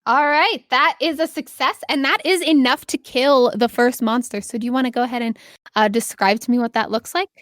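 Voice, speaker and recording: A woman's voice: high-pitched, steady tone, a little fast, a bit bright, dry recording, studio quality. A woman acting as game master, narrating a tabletop role-playing game in a casual, improvised way and encouraging the players. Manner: encouraging, affirming players with short praise.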